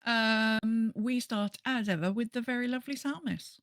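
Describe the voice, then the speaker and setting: A woman's voice: fairly high pitch, moderate pace, no echo, studio quality. A woman chatting casually as co-host of a gaming podcast.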